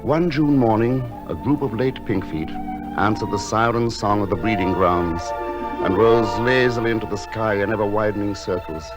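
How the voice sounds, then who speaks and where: A man playing a gentle, lonely recluse in a radio drama, speaking and narrating in a measured, literary way. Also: fairly low pitch, natural voice, low-quality recording. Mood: wistful, reflective. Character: lonely, gentle, misshapen male recluse who tends wild birds. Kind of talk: radio drama acting and first-person narration. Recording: music behind the voice.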